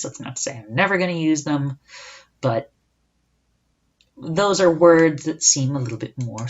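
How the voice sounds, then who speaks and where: Young man, solo podcast host: loose, chatty, self-correcting, on a slightly muffled recording. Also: mid-range voice. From United States. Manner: casual and chatty, with rhetorical asides and self-corrections. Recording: dull lo-fi recording.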